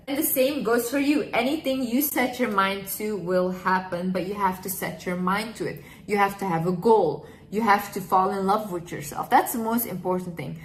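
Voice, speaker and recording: A woman's voice: mid-range voice, a bit bright, echoey room, low-quality recording. A woman in her twenties giving heartfelt life advice straight to camera in an earnest, emphatic way. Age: 20s. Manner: earnest, emphatic, repeats phrases. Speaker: vlogger. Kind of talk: casual advice vlog.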